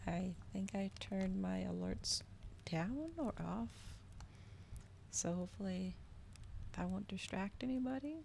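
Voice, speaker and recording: Soft, creaky-voiced woman streaming ASMR. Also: mid-range voice, measured pace, pauses often. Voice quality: soft, gentle voice, often slipping into whisper. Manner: casual, hesitant, chatting to viewers. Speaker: streamer hosting a live reading. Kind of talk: soft-spoken ASMR livestream chat. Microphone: regular microphone, not ASMR-specific. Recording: audible background noise, fireplace ambience. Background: crackling fireplace ambience.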